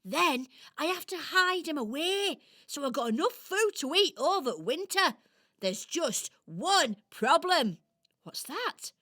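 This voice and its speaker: Giggly show-off squirrel for a kids radio play, high voice, super swoopy and theatrical. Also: female voice, very animated, takes it slowly, bright, forceful tone, exaggerated voice. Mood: dramatic explaining. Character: playful, excitable, boastful female squirrel. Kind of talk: children's radio play acting.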